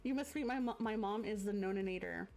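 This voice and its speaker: A woman's voice: mid-range voice, quick pace. A woman in her thirties hosting a live stream, chatting casually with lots of fillers and easy laughter. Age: 30s. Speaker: streamer host. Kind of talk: casual chat. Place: home streaming setup.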